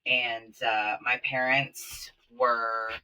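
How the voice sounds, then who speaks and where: A man's voice: medium pitch, little pitch movement, extremely slow, pushed and bright, distant mic, slight background noise, low-quality recording. A man from Kenya, guest on a podcast, telling his story in a rambling way with many fillers, recorded in a small podcast closet. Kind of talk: casual interview chat.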